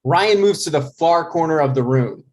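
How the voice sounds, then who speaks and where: Guy reading stage directions for a comedy script, voice a bit high. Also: expressive, moderate pace, a little mellow. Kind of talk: table read narration of a comedy script.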